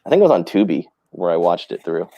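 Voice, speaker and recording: A man's voice: deep voice, big pitch swings, quick pace, dark tone, highs cut off, a little hiss or hum. A man chatting casually about films as co-host of a live stream.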